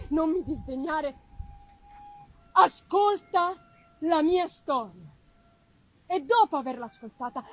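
A woman voicing Frankenstein's creature, a wretched, eloquent male monster, in a solemn, halting, declamatory gothic reading. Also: high-pitched. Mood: pleading. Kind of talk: dramatic reading of a gothic novel.

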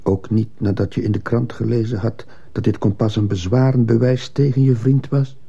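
An actor playing a troubled schoolteacher in a radio drama, narrating his own story in a grave, measured, introspective way. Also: medium pitch, steady tone, creaky, warm tone, husky. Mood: probing. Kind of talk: radio drama acting and first-person narration.

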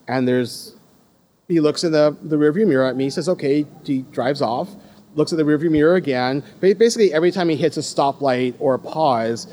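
A man's voice: fairly high pitch, darkish tone. A man in his thirties from Los Angeles with an American accent, telling personal stories casually, often laughing and restarting his sentences. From Los Angeles, USA. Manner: laughing, self-deprecating, many restarts.

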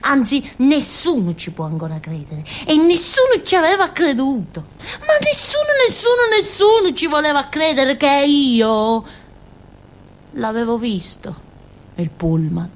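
Childlike southern Italian village simpleton, swooping high voice. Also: female voice, very animated. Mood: exalted, insistent. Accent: southern Italian, Calabrian. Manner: naive, halting, repetitive, prone to giggles. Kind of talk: theatrical monologue for radio.

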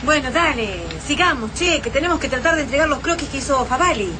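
A woman's voice: sits high, animated, fast, noisy background. A woman with an Argentine Rioplatense accent playing a practical, insistent survivor of an invasion in a radio drama. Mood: brisk.

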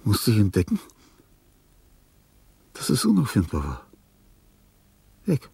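An actor playing a frail, dying old composer in a radio play, self-pitying and grandiose, rambling theatrically with a breathy, husky voice that often falls to a whisper. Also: male voice, on the low side, huge pitch swings, takes it slowly, warm tone, no room sound, heavily acted. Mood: suspicious. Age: older. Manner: theatrical, rambling, with sudden swings from murmur to outburst. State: frail, ailing.

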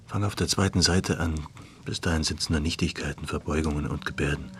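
Tiefer, grüblerischer kranker Schriftsteller. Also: male voice, rumbling low, steady tone, fairly bright tone. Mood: weary, insistent. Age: middle-aged. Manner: halting, brooding, urging. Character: ailing, self-doubting writer and court official, restless and sardonic. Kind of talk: German radio play acting.

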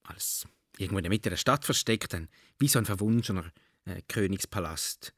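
A man with a Swiss German accent reminisces in a low voice, very dry and close. He tells his story casually, with small hesitations and tag questions. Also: animated, brisk, fairly bright tone, dry recording.

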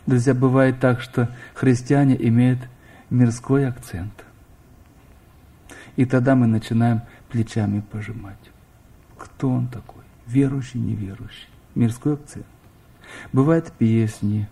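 A man's voice: medium pitch, fairly even intonation, unhurried, dark tone, husky. A middle-aged man preaching a sermon, speaking straight to his listeners and asking them questions. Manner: addresses listeners directly with questions, rising emphasis. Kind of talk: sermon to a congregation.

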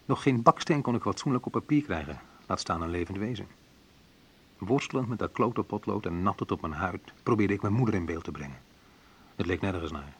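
Low, husky, wounded male narrator. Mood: bitter, sardonic. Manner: colloquial, sardonic, clipped short sentences. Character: bitter, wounded male narrator recalling his youth. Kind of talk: dramatised first-person narration, radio play.

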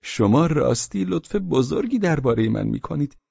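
A man's voice: on the high side, sing-song, creaky, warm tone, husky, no room sound, highs cut off, voice pushed up, exaggerated voice. A man voicing a fawning, nervous middle-aged father with a raised, wheedling voice.

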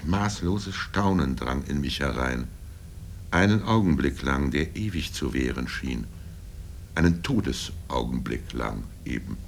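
Low-voiced older man reading, noisy recording. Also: animated, unhurried, vocal fry. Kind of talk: literary first-person prose reading. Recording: low rumble and background noise.

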